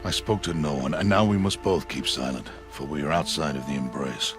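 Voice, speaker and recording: A man playing a stern, stoic middle-aged hunter and mentor in a video game, speaking in a grave, measured, solemn way. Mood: solemn warning. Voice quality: very deep, weighty. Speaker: mentor and guardian. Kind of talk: dramatic video game acting. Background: prominent background music.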